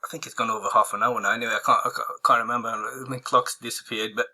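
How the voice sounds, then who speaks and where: A man walking viewers through a software tutorial, hoarse and losing his voice, rambling with many fillers and restarts. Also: medium pitch, fairly even intonation, fast. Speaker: online marketing tutor. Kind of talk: casual screen-recorded tutorial.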